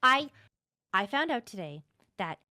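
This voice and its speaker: A woman plays an outspoken young female confidant in a fantasy game. The voice sits fairly high. The delivery is informal and suspicious, leaning hard on stressed words. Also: big pitch swings, bright, forceful tone, dry recording, clean studio sound, exaggerated voice. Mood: emphatic, suspicious. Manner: emphatic, informal, drawn-out stresses. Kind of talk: improvised game roleplay dialogue.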